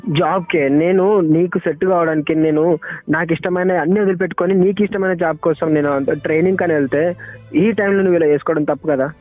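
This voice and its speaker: A young man with a Telangana accent speaks very quickly over a tinny phone line. He sounds hurt and upset, reproaching his former girlfriend and circling back insistently on his phrases. Music plays behind the call, and his voice sits fairly high. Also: fairly even intonation, dry recording. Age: young adult. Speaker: caller's former boyfriend. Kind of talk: emotional private phone argument.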